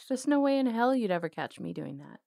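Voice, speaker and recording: A woman's voice: a little high, very animated, normal speed, gravelly, dark tone, no room sound, clean studio sound, exaggerated voice. A woman playing a bold, lovelorn outlaw cowgirl in an intimate ASMR roleplay, sardonic and flirtatious, drawing words out. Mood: incredulous.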